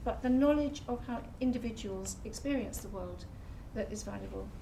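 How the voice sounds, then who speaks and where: A woman speaking as a panelist in a public discussion, earnest and measured as she argues her point. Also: mid-range voice, creaky, background noise. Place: public talk venue.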